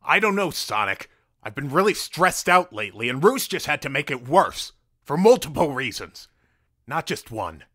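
A man's voice: fairly high pitch, sing-song, normal speed, bright, forceful tone, no echo, studio quality, exaggerated voice. A man playing a stressed, stubborn cartoon echidna with a blunt, defensive manner in a fan audio drama. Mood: exasperated.

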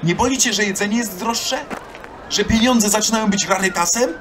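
A man's voice: high-pitched, fairly quick, fairly bright tone, slight background noise. An actor playing a bitter, argumentative young townsman in an audio drama. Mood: indignant. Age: young adult.

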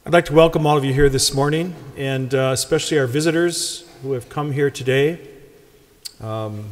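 A man in his sixties, a pastor with an Upper Midwest American accent, reading the Gospel and then giving wry, conversational welcome remarks from the lectern. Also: medium pitch. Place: large church sanctuary. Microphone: lectern microphone. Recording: long church reverb.

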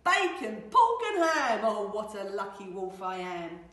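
A woman's voice: mid-range voice, expressive, moderate pace, natural voice. Woman acting out a greedy, boastful wolf in an echoey room. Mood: gloating. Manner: boastful, threatening, loudly declaiming. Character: greedy, boastful male wolf, menacing and gluttonous. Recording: echoey room, distant mic.

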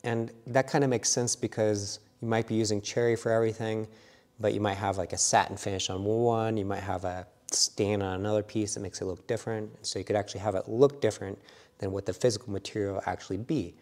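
A middle-aged man with a fairly low voice explains a woodworking software workflow. He speaks in a relaxed, explanatory way and often fills gaps with "uh." A lapel mic picks him up inside his workshop. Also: a little fast. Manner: relaxed, explanatory, with frequent fillers. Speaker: woodworking instructor. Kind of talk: software tutorial walkthrough. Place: wood workshop indoors.

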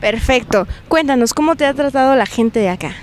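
A young Mexican woman with a high voice works as an interviewer on location. She talks into a handheld mic in an open plaza, with faint outdoor noise behind her. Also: animated, fairly quick. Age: young adult. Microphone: handheld reporter microphone.

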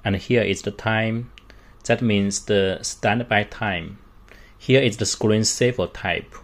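A man walks through a product step by step in a fairly low voice. He talks slowly and haltingly, in short unscripted phrases with non-native English grammar. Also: fairly even intonation. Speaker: product demonstrator.

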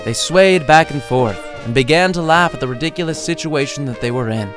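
A man's voice: a little high, brisk, music in the background. A man reading his own short story as scripted audiobook narration, with a wry, playful storytelling manner.

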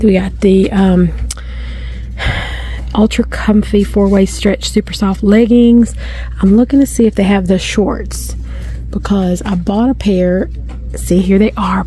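Middle-aged woman, casual shop-along vlogger; mellow, chatty, easily delighted; phone in hand, faint store noise. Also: medium pitch, low-quality recording. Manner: chatty, easily excited, thinking aloud. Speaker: shopping vlogger. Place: inside a large discount store. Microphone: handheld phone camera filming her hands.

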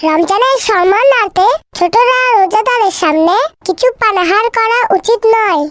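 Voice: very high voice, moderate pace, a bit bright, no room sound, studio quality. A performer voicing a kind, pious older sister who speaks politely and instructively in a children's Islamic cartoon. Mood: firm, kindly.

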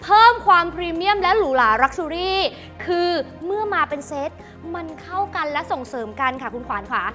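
Bright high-voiced shopping host. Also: female voice, very animated, brisk, soft background music. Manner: eager, coaxing, addresses viewers. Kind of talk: TV shopping presentation. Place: TV studio.

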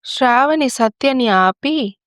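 A woman's voice: high-pitched, lively intonation, slow pace, no echo, studio quality. A woman reading scripted narration for a family story.

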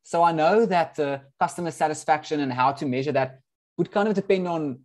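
A man's voice: a little high, brisk. A man whose first language is Afrikaans, hosting an online product talk over a video call in a friendly way with many ums. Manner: friendly, filler-heavy with frequent ums. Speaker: host. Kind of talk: webinar introduction.

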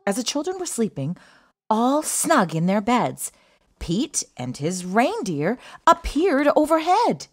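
Slow, very animated high-voiced woman storyteller. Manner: sing-song, emphatic rhyming delivery. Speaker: children's book reader. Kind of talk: expressive read-aloud storytelling.

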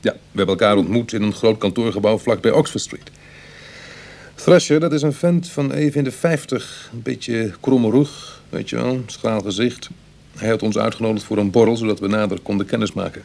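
A man playing a roguish, world-weary reformed burglar in a radio drama, speaking in a musing, colloquial way with a husky, gravelly voice. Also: fairly low pitch, normal speed, darkish tone. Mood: musing, conversational. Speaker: actor in a radio play. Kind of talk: Dutch radio drama acting, dialogue and confessional narration.

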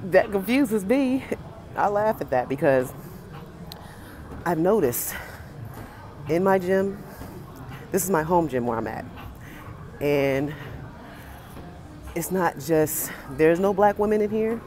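An American woman in her forties talking casually and emphatically to camera as a fitness vlogger, pausing between phrases. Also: lowish voice, expressive, dark tone, slight background noise. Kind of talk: casual opinionated talk to camera. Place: indoor gym with high ceiling.

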